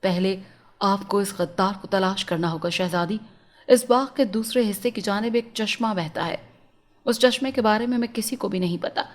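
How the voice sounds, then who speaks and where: A woman's voice: medium pitch, moderate pace, close to an everyday voice. A woman voicing a young captive prince in a storybook tale, earnest and pleading, speaking formally and respectfully. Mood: solemn, instructing. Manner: formal, respectful, urgent address.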